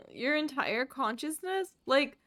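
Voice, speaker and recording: Bright, playful woman streamer. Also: sits high. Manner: playful, cutesy baby-talk words, reactive. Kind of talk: casual live game commentary.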